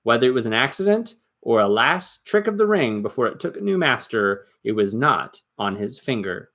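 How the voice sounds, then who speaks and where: A man reading a story aloud as dramatic narration, expressive and suspenseful, with deliberate emphasis. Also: medium pitch. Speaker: storybook reader.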